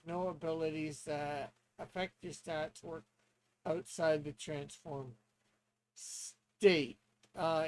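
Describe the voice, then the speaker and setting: Slow, high-voiced man, halting delivery. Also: a little mellow, poor audio. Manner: hesitant, halting, thinking aloud. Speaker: streamer and tabletop player. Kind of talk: casual stream talk, reading rules aloud.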